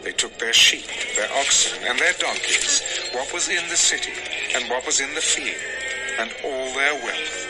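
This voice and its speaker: Expressive male scripture narrator over music. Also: medium pitch, a little slow, rough recording.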